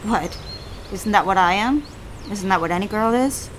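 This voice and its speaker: Woman acting a twenties daughter in a radio play, conflicted, wry n romantic, mid pitch, bit of noise behind. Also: lively intonation, normal speed, close to an everyday voice. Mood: cynical, defensive. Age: 20s. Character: conflicted, romantic young woman confiding in her father. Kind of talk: audio drama acting.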